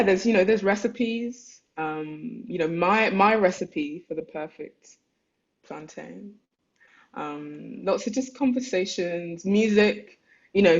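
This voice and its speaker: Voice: mid-range voice, lo-fi sound. A lecturer giving an online talk about their own thesis, thinking aloud, searching for words, and reading their own letters aloud. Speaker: lecturer and artist.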